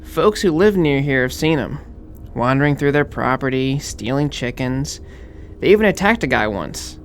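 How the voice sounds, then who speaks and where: A man's voice: medium pitch, lively intonation, moderate pace, slight background noise, natural voice. A man playing an eager, slightly dorky young fraternity pledge, earnestly telling a spooky legend in an audio drama. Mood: eager, ominous. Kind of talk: acted campfire-style legend telling in an audio horror drama.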